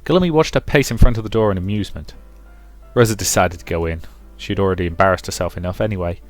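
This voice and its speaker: Low-voiced man reading a game story aloud. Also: fast, faint music behind. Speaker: let's play presenter. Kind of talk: reading a visual novel aloud with casual asides.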